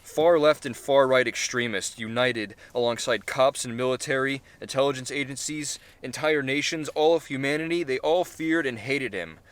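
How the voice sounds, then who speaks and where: A man's voice: medium pitch, moderate pace, few pauses, fairly bright tone, smooth. A man reading his own short story aloud, casually, with swearing and self-corrections.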